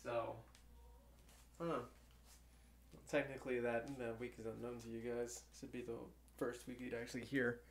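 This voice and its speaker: A man's voice: medium pitch, level tone, moderate pace, pauses often, a little hiss or hum. A young man rambling casually to himself while doing live commentary on an old movie, full of fillers and restarts. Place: at home.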